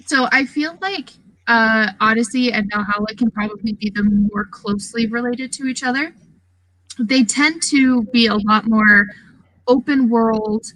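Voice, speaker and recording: Bright, forceful woman chatting about games. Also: on the high side, low-quality recording. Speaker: guest on a gaming podcast. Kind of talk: casual chat.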